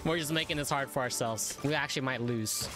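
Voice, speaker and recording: A man's voice: medium pitch, fast. A man giving casual gameplay reaction commentary, thinking aloud informally. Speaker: gaming video creator. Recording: loud game music behind voice. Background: video game music.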